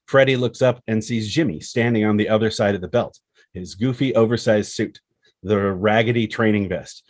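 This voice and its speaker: A man's voice: fairly low pitch, moderate pace, no room sound. A man reading scripted screenplay action and scene headings as the narrator.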